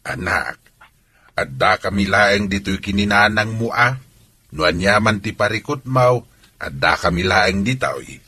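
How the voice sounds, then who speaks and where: A man's voice: fairly low pitch, expressive, measured pace, bright, forceful tone, breathy edge, dry recording, full character voice. A man acting a fond elderly rural grandfather in a radio drama, with an Ilocano accent. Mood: tender, reassuring.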